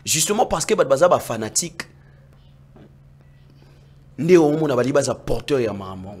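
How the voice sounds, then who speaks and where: A man arguing about politics in a heated debate, emphatic and sometimes nearly shouting. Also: medium pitch, lively intonation, normal speed. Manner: emphatic, rising to near-shouts when making points. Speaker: political commentator.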